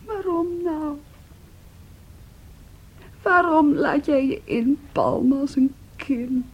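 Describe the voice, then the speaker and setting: An actress in a Dutch radio play playing an intense, wounded, possessive young woman, brooding and reproachful, with a breathy delivery. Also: sits high, slow, dull audio. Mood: tearful, hurt. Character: Andrea, intense, wounded, possessive young sister clinging to her brother.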